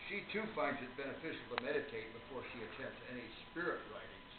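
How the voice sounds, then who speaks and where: A man playing an affable, courteous gentleman past middle age, a kindly spiritualist in a radio play. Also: mid-range voice, expressive, fast, lightly acted. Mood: courteous, solicitous. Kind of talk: amateur radio play acting. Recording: very noisy, tinny, echoey distant recording.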